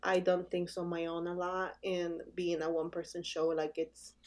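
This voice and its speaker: A woman brand designer answering interview questions casually, pausing often with "um" as she thinks. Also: fairly low pitch, little pitch movement, darkish tone. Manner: hesitant, with many "um" pauses.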